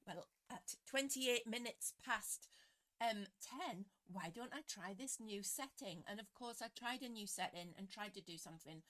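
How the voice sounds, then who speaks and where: Lady doing a live tarot stream, bit croaky, chats away and laughs at herself. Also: mid-range voice, fairly bright tone. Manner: chatty, self-deprecating, greets viewers by name. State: slightly croaky voice. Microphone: computer webcam setup.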